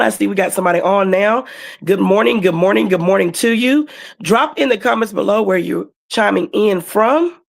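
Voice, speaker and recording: A hoarse woman's voice at a middling pitch. She hosts a casual livestream, chatty and talking aloud as she handles things. The sound comes through a video call. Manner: chatty, thinks aloud while working the stream setup. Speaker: livestream host.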